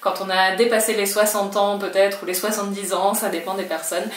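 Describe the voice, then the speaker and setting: A woman's voice: medium pitch, level tone, brisk, echoey room, recorded from a distance. A woman whose first language is French, a Spanish teacher giving a chatty, reassuring video lesson and now and then acting out her learners' worries. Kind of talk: talking-head language lesson.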